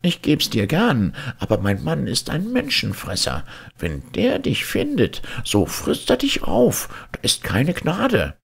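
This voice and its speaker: A man's voice: on the high side, huge pitch swings, slow pace, creaky, slightly rough, pitched above the performer's own voice, exaggerated voice. A man voicing a kindly, worried old woman in a storybook fairy tale.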